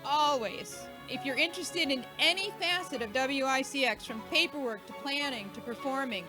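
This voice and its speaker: A woman's voice: sits high, normal speed, music in the background, rough recording. A woman hosting an event, giving an informal, chatty, joking thank-you speech to a live audience. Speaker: event host and organiser.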